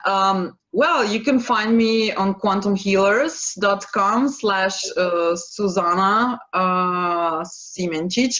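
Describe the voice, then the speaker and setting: A woman speaking English with a Slovene accent hosts a relaxed chat about healing sessions. She hesitates, restarts her sentences and laughs lightly between thoughts. Her voice comes through a dull, lo-fi video call line. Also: medium pitch. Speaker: quantum healing practitioner, co-host. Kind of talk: casual chat to viewers.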